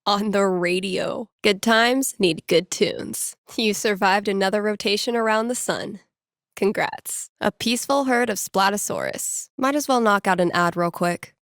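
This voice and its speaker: A woman's voice: mid-range voice, unhurried, fairly bright tone, no room sound. A woman playing a wry, playful young American radio DJ in a video game, speaking casually with dry, sardonic, self-deprecating asides. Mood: wry, upbeat. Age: young adult.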